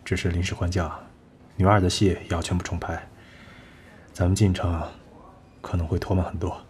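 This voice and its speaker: An actor playing a blunt, proud film producer in a radio drama, curt and sardonic. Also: male voice, low-pitched, flat delivery, moderate pace, a little mellow, a little hiss or hum, natural voice. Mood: businesslike. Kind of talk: Chinese radio drama acting.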